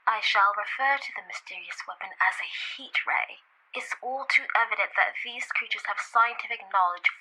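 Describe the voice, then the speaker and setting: Female actor as scholarly radio drama scientist, precise and deliberate, very sharp tone with a rasp. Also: fairly high pitch, exaggerated voice, low-quality recording. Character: measured, scholarly female scientist giving eyewitness conjecture. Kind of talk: formal 1930s radio drama monologue.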